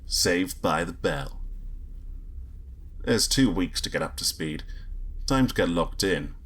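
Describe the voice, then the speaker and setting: A man playing a grumpy, sardonic space agent in a sci-fi audio drama, with a husky voice and a wry, grumbling manner. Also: low voice, lively intonation, slow pace, fairly bright tone, heavily acted. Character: grumpy, trigger-happy male space agent, sardonic and exasperated. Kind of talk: first-person sci-fi audio drama narration and dialogue.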